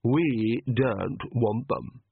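A man playing a suave, condescending young vampire aristocrat in an audiobook, speaking slowly and with polish. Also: medium pitch, animated, narrow, tinny audio, slight background noise. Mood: icy, irritated.

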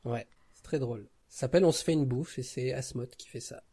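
Mid-pitched man chatting informally. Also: a little fast, mellow, husky. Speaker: podcast guest, audio fiction creator. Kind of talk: casual chat.

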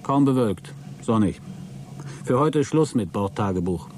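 A man's voice: mid-range voice, animated, unhurried, heavy background noise, close to an everyday voice. An actor playing a wry male astronaut in a radio play, giving clipped, matter-of-fact flight reports.

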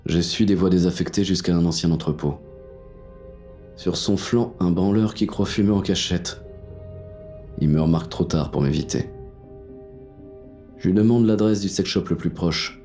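Over a music bed comes a very low voice: a hard-boiled, cynical fugitive acted by a man in a noir audio drama. He tells his own story in French-accented, crude and terse slang, like a wounded gunman on the run. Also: moderate pace, long pauses, mellow. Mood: grim, cynical. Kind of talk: first-person noir audio fiction narration.

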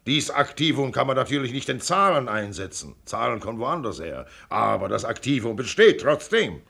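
Radio play: self-assured older millionaire, gravelly and blunt, clipped commands, swinging intonation. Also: male voice, mid-range voice, big pitch swings, moderate pace, bright, forceful tone, exaggerated voice. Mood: commanding. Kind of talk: radio drama acting.

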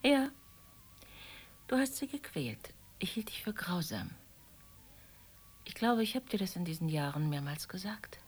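A husky, wistful woman. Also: lowish voice, very animated, unhurried, long pauses, full character voice. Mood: quiet, reserved. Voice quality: husky, breathy, often dropping to near whisper. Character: wistful, gently reproachful woman, former lover of a married man. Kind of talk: German radio play dialogue.

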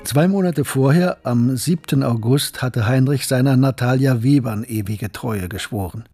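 A man acting as a reflective student who recalls his life in a measured, literary radio drama narration. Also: medium pitch, dark tone, natural voice. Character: Gustav, reflective male mathematics student recalling his past. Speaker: narrator and protagonist. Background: music.

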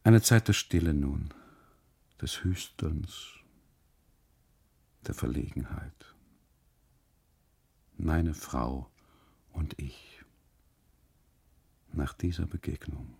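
A man narrating a literary radio monologue in the first person, deliberately, in short phrases broken by pauses. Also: very deep voice, vocal fry, a little mellow, slightly rough.

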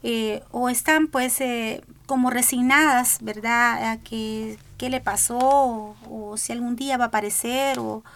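A woman's voice: fairly high pitch, gravelly, fairly bright tone. A middle-aged woman from El Salvador with a Salvadoran Spanish accent, speaking as the mother of a missing migrant on a radio panel, earnest and pleading. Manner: earnest, pleading, repeats "¿verdad?".

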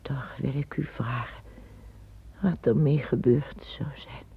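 A man in a radio play speaking in a hushed whisper as a frightened hidden figure. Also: medium pitch, steady tone, slow pace. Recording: dull old radio recording.

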